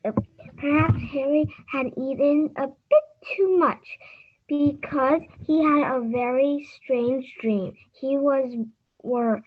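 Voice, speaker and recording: A female young reading club participant reading aloud haltingly, heard through thin, phone-like call audio. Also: high-pitched.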